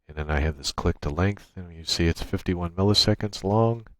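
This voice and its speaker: A man with a deep voice presents an informal software walkthrough. He explains each step as he goes, speaking into a USB microphone. The sound is dry, with little room in it. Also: moderate pace, dry recording. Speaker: tutorial presenter. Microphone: USB microphone through a noise gate.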